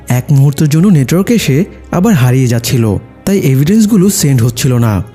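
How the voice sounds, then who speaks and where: A man's voice: on the high side, warm tone, husky. A man narrating a film's plot from a script. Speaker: movie recap narrator. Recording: music behind the voice. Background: background music.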